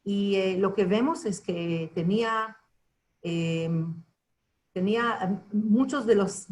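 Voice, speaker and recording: A professor from Argentina answering questions on a video call, speaking haltingly with many fillers and restarts as she searches for words. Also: medium pitch, a little hiss or hum, rough recording.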